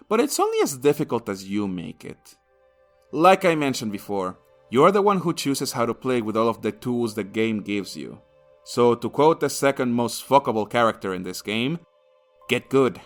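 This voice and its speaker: A man narrating a scripted comedic video game review in a crude, sarcastic, sweary manner. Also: medium pitch.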